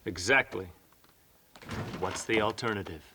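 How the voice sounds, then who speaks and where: A man's voice: on the low side, unhurried, background noise. A man acting as a stern, blunt lawman's partner in a western video game, speaking in a clipped manner. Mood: stern, curt.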